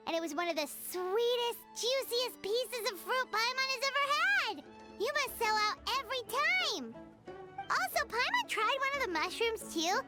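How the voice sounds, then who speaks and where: A woman voicing a tiny, bubbly female game companion who loves food and talks about herself in the third person. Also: very high-pitched. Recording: game audio with loud background music.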